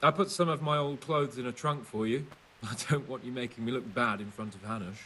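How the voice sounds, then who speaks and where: A man's voice: medium pitch, fairly quick, bright, forceful tone, distant mic, slight background noise, natural voice. An actor playing a cocky, vain young nobleman in a medieval video game, teasing and condescending. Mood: smug teasing. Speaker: young lord.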